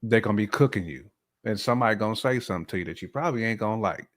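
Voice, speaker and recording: A man's voice: lowish voice, very fast. A man co-hosting a talk show, giving his opinions casually in colloquial, slangy speech. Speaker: podcast co-host giving a man's point of view.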